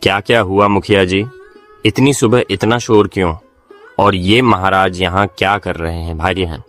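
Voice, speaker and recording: A man's voice: low-pitched, fairly even intonation, moderate pace, a bit bright, soft background music. A man playing an anxious, pleading young villager in a cartoon tale, with a flustered manner.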